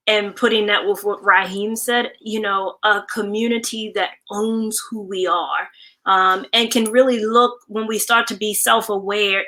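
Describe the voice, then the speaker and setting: A woman speaking as a panelist in a thoughtful discussion, in a measured, reflective manner. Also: mid-range voice, pushed and bright.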